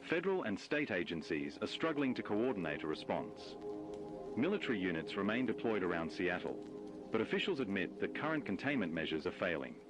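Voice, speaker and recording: A man's voice: fairly low pitch, big pitch swings, a little fast, poor audio. A man playing a grave news anchor, reading a scripted bulletin about a catastrophe in an analog horror video. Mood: grave, urgent. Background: music.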